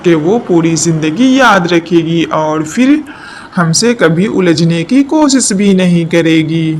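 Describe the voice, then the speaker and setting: A man's voice: on the high side, lively intonation, slow pace. A swaggering male cartoon crow, voiced by a man, boastful and menacing. He speaks as a vengeful husband, with faint noise in the background. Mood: vengeful, reassuring.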